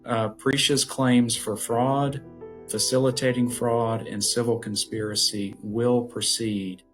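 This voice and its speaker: A man's voice: medium pitch, flat delivery, slow pace, over music. A man, a lawyer, carefully and formally explaining a court ruling.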